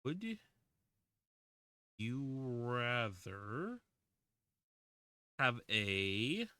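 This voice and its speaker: A man's voice: mid-range voice, very animated, drawn-out words, pushed and bright, no echo. A man in his twenties, streaming a game and chatting casually, rambling with lots of fillers and restarts. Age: 20s. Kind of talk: casual livestream chat while playing a game.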